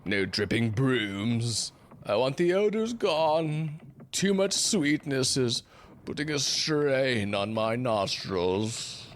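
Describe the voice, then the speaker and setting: A pompous first mate from the age of sail , acted by a man, speaking slowly in florid, old-fashioned phrases. He is fussy and stern in a theatrical radio-play way, with steady noise behind him. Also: medium pitch. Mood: offended, commanding. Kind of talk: theatrical audio drama acting.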